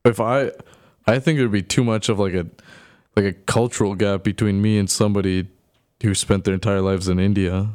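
A man's voice: lowish voice, steady tone, brisk, a little mellow, no room sound, clean studio sound. A man in his early twenties chatting casually with a friend, talking hesitantly with many restarts. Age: 20s. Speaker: friend in conversation. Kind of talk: casual chat.

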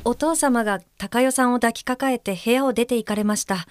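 An actress playing a considerate, observant guide helper in an audio drama, speaking gently, politely and with care. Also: female voice, on the high side, brisk, background noise, lightly acted. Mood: careful, subdued. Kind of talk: Japanese audio drama acting, reading aloud and describing.

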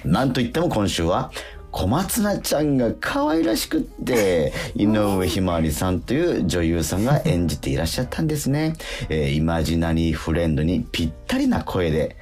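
Male radio host, deep and expressive, casual mail-reading chat. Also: a little fast, soft background music.

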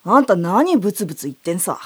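A woman playing a brash, androgynous young youkai child with rough, slangy speech in an audio drama. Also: mid-range voice, sing-song, fast talker. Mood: exasperated.

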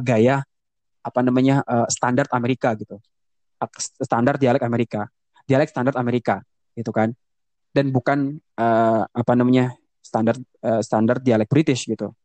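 A man's voice: mid-range voice, steady tone, normal speed, a little mellow, no room sound. A young man from Indonesia chatting casually on a podcast, switching between Indonesian and English with lots of fillers and restarts. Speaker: podcast co-host. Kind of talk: casual bilingual podcast chat.

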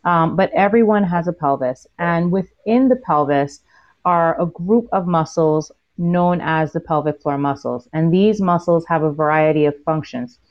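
A woman in her forties from Barbados, a physical therapist guest, explaining things in a steady way. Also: sits low, normal speed, muffled recording. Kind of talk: explaining in an interview.

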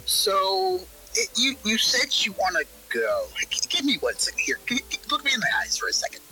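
Vampire cult high priest, played by an actor: zealous, halting, relishing, very forceful and lively, faint music underneath. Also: exaggerated voice, rough recording. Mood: coaxing, menacing. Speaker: roleplayer in an interview audiodrama. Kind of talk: improvised in-character audio drama.

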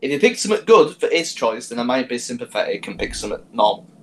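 A man's voice: mid-range voice, sing-song, quick pace. A young man co-hosting a podcast, trading casual banter with friends. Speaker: podcast co-host.

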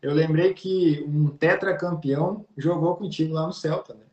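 A man's voice: on the high side, steady tone, normal speed, a little mellow, lo-fi sound. A man co-hosting a podcast, asking curious questions in a casual chat. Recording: echoey room.

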